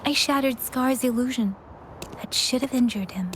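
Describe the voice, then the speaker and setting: A woman's voice: high-pitched, moderate pace, slight background noise, natural voice. An actress voicing an earnest, protective young female warrior companion in a video game, with a concerned manner. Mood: concerned, apologetic. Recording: music behind the voice.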